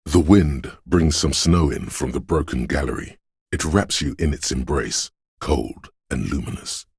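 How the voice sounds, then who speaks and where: A man voicing a brooding, all-seeing city spirit in a game, husky and gravelly, narrating in slow, solemn, poetic lines. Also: rumbling low, no room sound, clean studio sound, full character voice. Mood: ominous, brooding. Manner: ominous, solemn, measured, hushed. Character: Shivers, brooding omniscient spirit voice of a windswept city.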